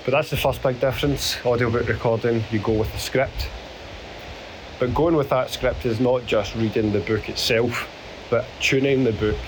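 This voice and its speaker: Hoarse Scottish man walking outdoors. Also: lowish voice. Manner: rambling, self-deprecating, halting. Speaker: podcast host. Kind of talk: casual spoken diary. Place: outdoors on a beach. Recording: outdoor recording with clear background noise.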